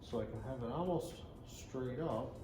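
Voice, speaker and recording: A man's voice: medium pitch, normal speed, reverberant, over loud music, low-quality recording. A man chatting casually as he hosts a DIY car build vlog, thinking aloud and muttering to himself as he works.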